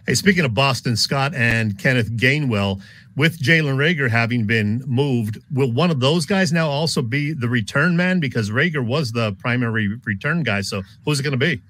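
Man, medium pitch, sports show host, casual interviewer, conversational football talk. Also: normal speed, fairly bright tone.

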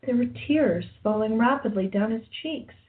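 A woman's voice: on the high side, normal speed. Tinny-sounding woman narrator. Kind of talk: scripted radio play narration reading stage directions. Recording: tinny phone-like audio.

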